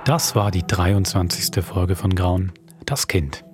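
Guy doing a podcast outro, host style, voice a bit low. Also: slow, over music.

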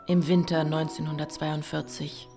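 An actress playing a searching, direct woman in a radio drama, speaking in short, deliberate phrases. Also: low voice, level tone, dark tone. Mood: reserved. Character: searching, direct Polish woman, daughter of a composer. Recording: music behind the voice.

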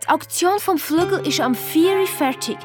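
A woman's voice: extremely high pitch, moderate pace, music in the background, voice pushed up. A woman voicing a rich, falsely sweet, scheming lady in a children's radio play. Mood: coaxing. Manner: gushing, sprinkles in English endearments.

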